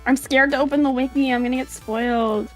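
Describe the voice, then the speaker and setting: Female streamer, higher-pitched, playful and sweary casual chat with music in the background. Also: fairly even intonation, a little fast. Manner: playful, mimics British accents, swears casually. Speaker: livestreamer reacting to videos.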